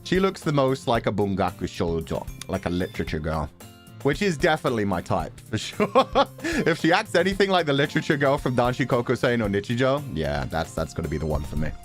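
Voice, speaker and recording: A man streams a game with casual, joking commentary. His medium-pitched voice swings widely up and down, and soft game music plays behind him. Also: fairly quick. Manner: animated, joking, slangy.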